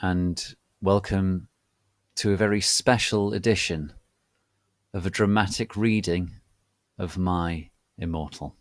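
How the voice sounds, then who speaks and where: Slow, low-voiced man, gravely theatrical. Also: fairly even intonation, no echo. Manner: deliberate, formal, grave theatrical delivery. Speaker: host and reader. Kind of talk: mock-solemn dramatic reading of fan fiction.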